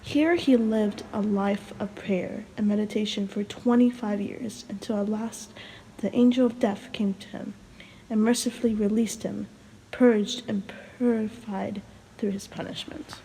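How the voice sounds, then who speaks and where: Young woman doing story time, kinda sick and hoarse, soft mellow voice, bit of background noise. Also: mid-range voice, steady tone, measured pace, poor audio. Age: young adult. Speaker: storyteller, video host. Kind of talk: storybook read-aloud with casual chat.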